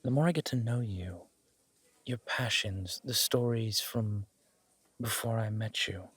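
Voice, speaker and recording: A man playing a charming, smitten mafia boss on a date in an intimate, conversational audio roleplay, teasing and earnest with a husky voice. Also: fairly low pitch, measured pace, a bit bright, no echo. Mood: tender, sincere.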